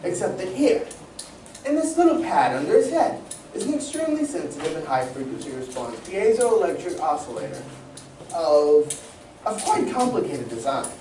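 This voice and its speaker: A woman playing a proud, excitable, learned inventor in old radio serial style, speaking in a theatrical, professorial way. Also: on the low side, moderate pace. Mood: earnest, explaining. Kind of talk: 1940s radio serial acting. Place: community playhouse stage reading. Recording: distant mic, echoey room, background noise.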